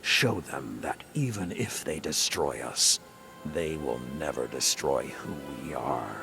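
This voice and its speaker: Deep, gravelly, stern military commander. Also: male voice, expressive, full character voice. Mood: grave resolve. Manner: solemn, commanding, deliberate. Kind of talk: dramatic video game dialogue. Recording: dramatic music behind the voice.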